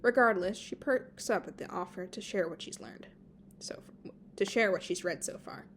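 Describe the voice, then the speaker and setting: A woman's voice: medium pitch, normal speed, a bit bright, in a whisper. A woman reading a story aloud as an amateur narrator, now and then tripping over a word and correcting herself. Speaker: amateur podfic reader. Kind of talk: reading fan fiction aloud.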